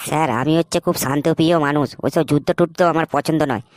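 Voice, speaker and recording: A man's voice: a little high, fairly even intonation, fast, dry recording, clean studio sound, natural voice. A man playing a cocky, dim-witted job candidate in a comedy cartoon, earnest and wheedling. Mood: cocky, confident.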